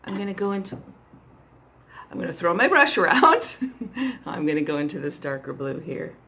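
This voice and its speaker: A middle-aged woman with a hoarse voice, chatting casually and playfully to herself as she demonstrates makeup, often poking fun at herself. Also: fairly low pitch, big pitch swings, normal speed, pushed and bright. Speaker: makeup vlogger. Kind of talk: casual makeup tutorial chat, talking to herself while working. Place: small indoor setup with dark backdrop.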